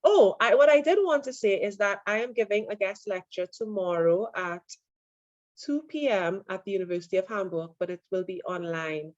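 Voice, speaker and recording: A woman's voice: medium pitch, normal speed, no room sound. Woman lecturer on lo-fi online audio. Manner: relaxed, many fillers. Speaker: university lecturer.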